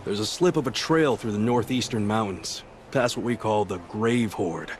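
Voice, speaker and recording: An actor playing a gruff frontier man in a video game, giving wry, cautionary advice to a traveler. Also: on the low side, moderate pace, a little hiss or hum, close to an everyday voice. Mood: wry, warning. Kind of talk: dramatic game dialogue.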